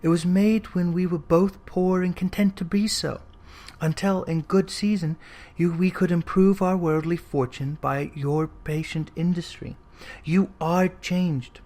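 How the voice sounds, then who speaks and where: A narrator voicing a gentle, dignified young woman who speaks with earnest sorrow and resolve in an old storybook drama. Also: medium pitch, fairly even intonation, measured pace, natural voice. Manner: earnest, soft-spoken, dignified.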